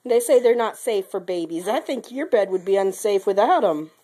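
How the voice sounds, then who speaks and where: A young mother, sick and speaking lower than usual, talking to her baby in a playful, sing-song way while filming in the nursery on a handheld camera. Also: lively intonation, moderate pace, dark tone. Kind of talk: home video baby talk. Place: baby's nursery, indoors.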